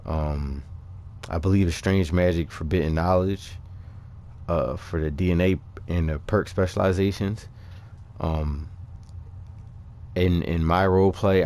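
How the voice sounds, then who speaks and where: Low-voiced man casually talking through a game. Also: dark tone. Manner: halting, thinking aloud. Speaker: gaming video creator. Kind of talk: casual unscripted game commentary.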